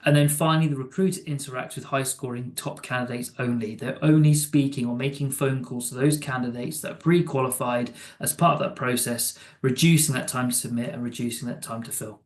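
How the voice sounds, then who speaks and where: A man presents a product recap in an informal, conversational way. His voice is of middling pitch and sounds roomy, with clear echo around it. He sometimes trips over words as he goes. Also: fairly even intonation, fairly quick, hardly any pauses. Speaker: software demo presenter.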